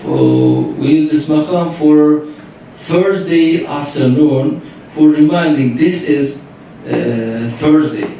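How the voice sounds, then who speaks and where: Slow, halting man with a Turkish accent. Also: a little high, gravelly, dark tone, a little hiss or hum. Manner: halting, searching for English words. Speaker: Qur'an reciter. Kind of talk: answering audience questions. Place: lecture room at a workshop. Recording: echoey room, distant mic.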